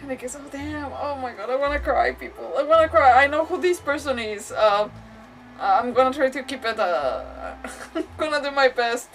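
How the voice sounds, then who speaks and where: Slightly distant, high-voiced woman game streamer. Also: animated, moderate pace. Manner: rambling, emotive. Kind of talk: casual live gameplay commentary. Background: game music.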